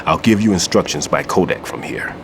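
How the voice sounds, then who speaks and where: An actor playing a seasoned older male bomb expert and wary mentor with a measured, grave delivery. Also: deep voice, background noise. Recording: game cutscene with music.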